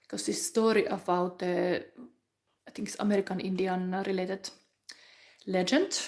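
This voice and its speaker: A woman from Finland with a Finnish accent, giving a rambling, musing tarot reading in English that often drops to a soft whisper. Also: mid-range voice. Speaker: tarot reader. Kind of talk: live pick-a-pile tarot reading chat.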